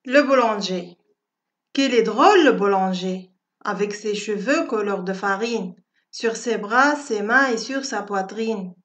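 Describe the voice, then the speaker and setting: A woman reciting a poem for schoolchildren in a measured, deliberate, carefully phrased way. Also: medium pitch. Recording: dull, lo-fi audio.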